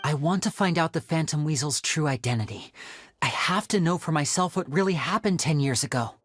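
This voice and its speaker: A man's voice: fairly high pitch, animated, a little fast, a bit bright, slight background noise. An actor playing a polite, composed young male stage magician in a fantasy game, speaking in a courteous, theatrical way. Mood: polite, earnest. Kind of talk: English-dubbed fantasy game dialogue.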